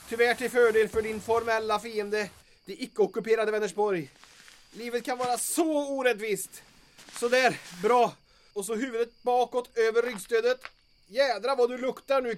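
An actor playing a hapless, fretful, chatty sidekick in an audio drama, with a whiny comic manner. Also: male voice, very high voice, fairly bright tone. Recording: noisy vehicle ambience behind voice.

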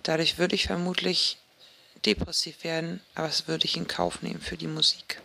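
A woman speaking an informal, introspective audio diary, hesitant and halting, with trailing pauses. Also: on the low side, flat delivery, gravelly, sharp tone, husky. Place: recorded on a dictation device as a personal diary.

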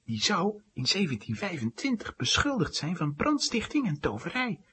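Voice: medium pitch, wildly swooping pitch, moderate pace, fairly bright tone, breathy edge, exaggerated voice. An actor playing an earnest young boy reading aloud in a children's audio drama. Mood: earnest, intrigued.

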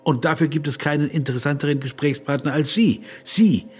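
A husky, questioning philosopher. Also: male voice, a little high, brisk, a little mellow, faint music behind. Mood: earnest, arguing. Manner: reflective, probing. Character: earnest, questioning philosopher, politely persistent. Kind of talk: radio play dialogue.

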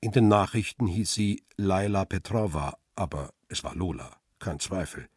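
Radio crime drama: jaded photographer, middle-aged, low rough-edged voice, sober self-critical first-person narration, close dry sound. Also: male voice, lively intonation, moderate pace, darkish tone, no room sound. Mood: matter-of-fact. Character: jaded middle-aged male press photographer narrating his own story.

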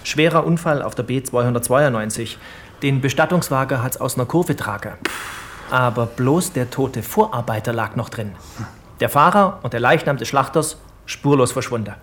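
An actor playing a matter-of-fact police investigator in a radio drama. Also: male voice, mid-range voice, expressive, moderate pace, a bit bright, a little hiss or hum, natural voice.

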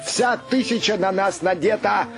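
An actor playing an eccentric, excitable artist husband with a halting, fussy way of talking in an audio play. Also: male voice, sits high, gravelly. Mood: elated. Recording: music under the voice. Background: music.

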